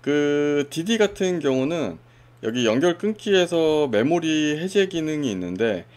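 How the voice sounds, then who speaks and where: Man with a lively, up-and-down voice explaining software. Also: a little high, unhurried. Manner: casual step-by-step explaining with fillers. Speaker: software tutorial presenter. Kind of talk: screen-recorded software walkthrough.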